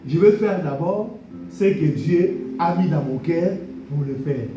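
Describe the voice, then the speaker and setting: A man's voice: fairly high pitch, measured pace, over music. A man preaching a sermon, repeating blessings and drawing amens from the congregation. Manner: preaching with call-and-response amens; repeats key phrases and blessings. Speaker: pastor. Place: church hall. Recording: echoey hall from far off.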